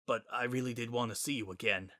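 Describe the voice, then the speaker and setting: A man voicing a wry, laid-back skeleton who hides his grief behind casual, halting, self-deprecating talk in a story reading. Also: medium pitch, fairly even intonation, pushed and bright, close to an everyday voice. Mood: regretful. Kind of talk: dramatic fan-fiction reading.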